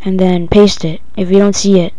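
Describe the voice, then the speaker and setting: A female-sounding speaker giving a casual, halting, improvised step-by-step software tutorial. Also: mid-range voice, flat delivery, no echo. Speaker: tutorial presenter. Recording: dull lo-fi home recording.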